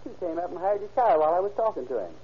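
A man's voice: high voice, lively intonation, racing, slightly rough. An actor playing an eager, folksy male witness in an old-time radio crime drama. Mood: earnest. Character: eager, shocked male cab driver witness. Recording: muffled old radio broadcast recording.

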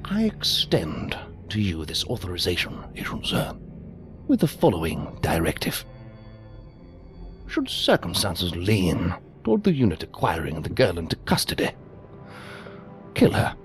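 A man plays a sinister superior in a science fiction audio drama, giving orders in formal, calculated phrases. His intonation rises and dips widely, with music playing clearly underneath. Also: low voice, huge pitch swings, exaggerated voice. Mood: cold, ominous. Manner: formal, measured, euphemistic. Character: cold, scheming male superior giving covert orders.

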